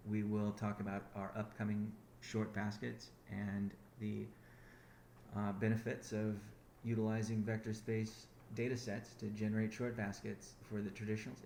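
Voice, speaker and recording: Man, low voice, founder giving a business update, rambling with restarts, echoey call audio. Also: a little mellow, a little hiss or hum. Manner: rambling, self-correcting, many restarts. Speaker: company founder on a conference call.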